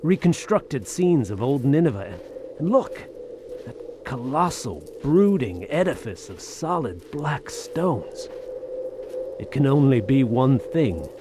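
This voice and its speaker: An actor playing a weary, hard-bitten soldier of fortune in an audio drama, speaking in folksy old-fashioned slang with grim resignation. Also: male voice, mid-range voice, very animated, slow, background noise. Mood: awed, excited.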